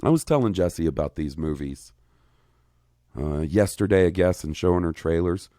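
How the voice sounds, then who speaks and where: Deep-voiced man in his thirties, rambling. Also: normal speed, no echo, studio quality. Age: 30s. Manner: rambling, profane, excitable. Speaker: podcast co-host. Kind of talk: casual podcast chat.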